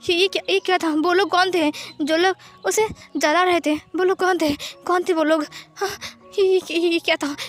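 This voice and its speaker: A woman's voice: sits high, measured pace. A woman voicing an anxious, curious young man in a dramatized story, questioning himself in repeated phrases. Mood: shaken, frightened. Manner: stammering repetition, questioning himself aloud.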